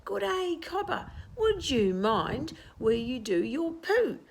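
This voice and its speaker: Woman as a cranky high-pitched spider. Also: very animated, slow, vocal fry, heavily acted. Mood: irritated. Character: irritated, cranky female trapdoor spider.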